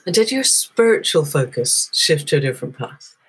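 A woman's voice: deep voice, expressive, moderate pace, breathy edge, low-quality recording. A woman hosting an interview, asking curious, encouraging questions. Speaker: podcast host.

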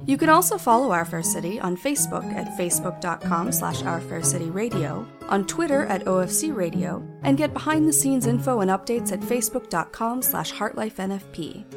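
A woman giving a crisp, cheerfully corporate closing announcement as a company manager. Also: medium pitch, expressive, moderate pace, few pauses, loud music behind the voice. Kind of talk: scripted announcement.

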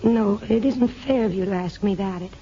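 A woman's voice: mid-range voice, normal speed, mellow, a little hiss or hum, natural voice. An actress in a 1950s radio drama playing an anxious, tender young woman in love, speaking earnestly and hesitantly. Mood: guarded, reluctant. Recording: dull 1950s radio broadcast recording.

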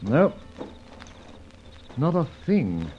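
Commanding gentleman adventurer, muffled old radio. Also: fairly high pitch, big pitch swings, extremely slow, slight background noise, full character voice. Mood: alert, intrigued. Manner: clipped, well-spoken, old-fashioned slang, giving orders. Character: shrewd, commanding gentleman adventurer, brisk male leader. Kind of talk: old-time radio adventure serial acting.

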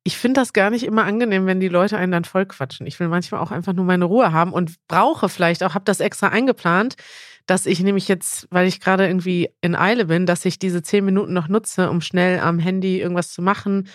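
A woman's voice: lowish voice, fairly quick, no room sound, studio quality. A woman, chatting informally as a podcast co-host. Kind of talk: casual conversational podcast chat.

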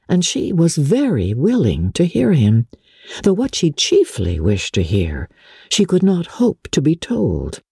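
Female literary narrator: dark husky tone, measured and expressive dramatic reading, close dry sound. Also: low voice, no room sound. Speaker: audiobook reader and radio host.

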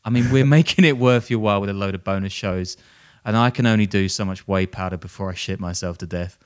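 A male podcast host with a smooth, clear, fairly low voice. He spins a crime story in loose, casual chat, cracking jokes at his own expense and laughing along the way. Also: quick pace. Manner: jokey, self-deprecating, laughs while telling.

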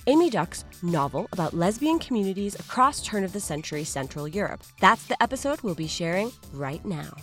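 Animated, theatrical woman with music behind. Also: lowish voice. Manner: playful, exaggerated, mock-surprised delivery. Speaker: audio drama host introducing another podcast. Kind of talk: theatrical scripted promo.

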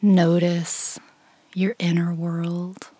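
A woman leading a guided meditation in a soft, breathy, near-whispered voice, gently and without hurry, leaving long pauses. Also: fairly low pitch, little pitch movement, no room sound. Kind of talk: guided meditation, slow calm instruction.

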